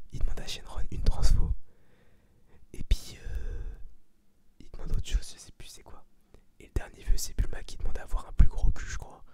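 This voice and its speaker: Whispered, ASMR, informal, slangy, rambling reviewer. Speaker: ASMR video host giving a film review.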